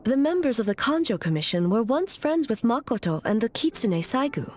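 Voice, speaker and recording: A woman voicing a stoic, aloof immortal shogun in a video game, speaking in a formal, grave and measured way over music. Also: fairly high pitch, very animated, darkish tone. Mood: solemn, reflective. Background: background music.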